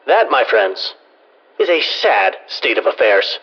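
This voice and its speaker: A man's voice: mid-range voice, sing-song, slow, heavy background noise. A man plays a fussy, irritable research scientist dictating a game audio log. His delivery is formal and pompous with a sardonic edge, on a muffled lo-fi recording with a radio-like filter. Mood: disdainful, sardonic. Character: fussy, irritable male research scientist logging crystal findings.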